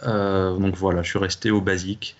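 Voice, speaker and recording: Low-voiced man, muffled lo-fi sound. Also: flat delivery, normal speed. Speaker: interview guest, audio drama creator. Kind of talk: casual interview answers.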